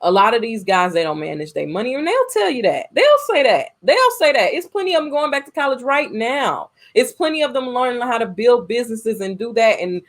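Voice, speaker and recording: A woman's voice: on the high side, very animated, a little fast, hardly any pauses. An American woman co-hosting a podcast in casual, opinionated talk, repeating phrases to drive her points home. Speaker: podcast co-host. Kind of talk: casual movie discussion.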